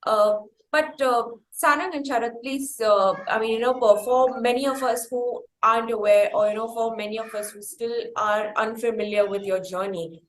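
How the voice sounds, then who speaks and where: A woman's voice: fairly high pitch, fairly even intonation, normal speed, hardly any pauses. A woman with an Indian accent hosting a conversational interview, feeling her way into questions in a roundabout way with repeats. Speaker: interviewer and podcast host. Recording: echoey room with faint noise.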